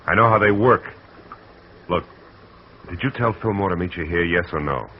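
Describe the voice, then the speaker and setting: A man plays a hard-boiled private eye who narrates in a clipped, wry, tough way. His voice is extremely deep. The old broadcast sounds dull and carries a faint hiss. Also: moderate pace, lightly acted. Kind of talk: 1940s radio acting.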